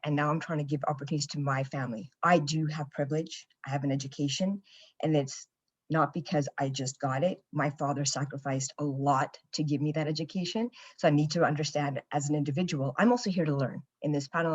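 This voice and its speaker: A young Canadian woman with a low, grounded voice speaks earnestly on a panel. She reflects on her own experience as she talks, leaning on the odd "you know," and her Canadian accent comes through a somewhat muffled, lo-fi video-call sound. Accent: Canadian English.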